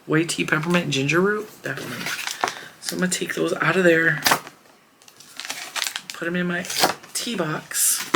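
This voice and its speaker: A woman hosting a crafting channel, chatting casually to her viewers in a hoarse voice, rambling and warm. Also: on the low side, steady tone, moderate pace, long pauses, heavy background noise. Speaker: crochet channel host. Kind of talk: casual chat to camera.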